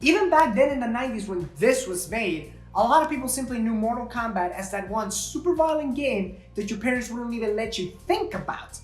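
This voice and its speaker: High-voiced man reviewing films critically. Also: animated, brisk, hardly any pauses. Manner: critical, sarcastic, swears. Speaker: film review co-host. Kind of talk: scripted comedic film review commentary. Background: faint music.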